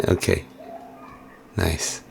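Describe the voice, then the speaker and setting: A hoarse man giving casual, musing let's-play commentary with plenty of fillers while he plays. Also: drawn-out words, a little mellow, a little hiss or hum. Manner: musing, chatty, many fillers. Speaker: game streamer.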